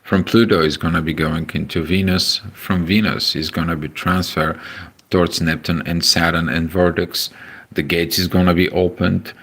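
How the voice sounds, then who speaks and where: A man with a Bulgarian accent talks through an astrology forecast in a deep, low voice. His delivery is halting and loose, full of filler sounds and restarts as he reads a chart and greets viewers in a casual livestream. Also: fairly even intonation, gravelly, fairly bright tone.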